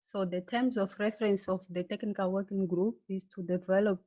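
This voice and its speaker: A woman's voice: mid-range voice, fairly even intonation, mellow, dull audio. Woman presenting, English. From Mozambique. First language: Portuguese. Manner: halting, searching for words with many fillers. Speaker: webinar presenter, pediatrician. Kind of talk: presenting slides in a webinar.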